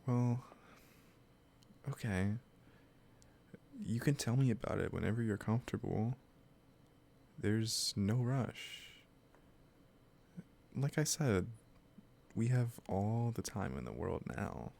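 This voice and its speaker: Man acting as obsessive kidnapper, roleplay audio, very slow delivery, creaky voice, hesitant, sweetly possessive, soft-spoken. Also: on the low side, animated, exaggerated voice. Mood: calm, coaxing. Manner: slow, hesitant, gently coaxing. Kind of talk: roleplay audio drama, addressing the listener directly.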